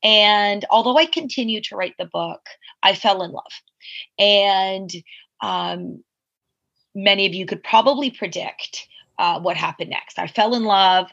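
A woman's voice: mid-range voice, a little slow, fairly bright tone, highs cut off. A woman in her forties, a podcast guest, telling her life story candidly in a reflective, rambling way full of asides. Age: 40s.

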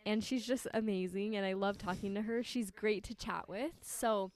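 Brief: woman host, bubbly and chatty, quick laughs, keeps restarting her sentences. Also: medium pitch, fairly even intonation. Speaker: podcast host. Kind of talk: casual interview chat. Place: friend's house.

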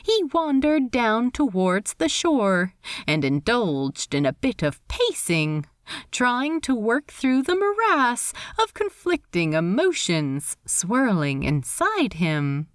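A woman reads fiction aloud in an expressive storytelling style. Her pitch moves widely and animatedly while her pace stays slow. The sound is dry, with little room around it. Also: dry recording. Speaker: fan fiction reader. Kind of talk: expressive scripted audiobook narration.

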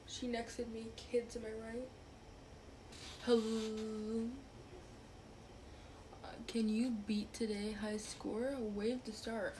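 A young woman with a fairly high voice hosts a casual online podcast. She talks in a playful, rambling way full of fillers. A faint hiss of noise sits under her voice. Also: little pitch movement, takes it slowly, pauses often, dark tone, rough recording. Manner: chatty, filler-heavy, playful. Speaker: podcast host chatting with strangers online. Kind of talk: casual chat.

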